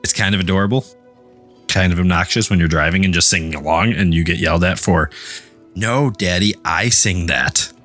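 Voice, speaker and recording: A man's voice: sits low, normal speed, fairly bright tone, faint music behind. A man, probably in his thirties, chatting casually as a podcast guest in a wry, joking way.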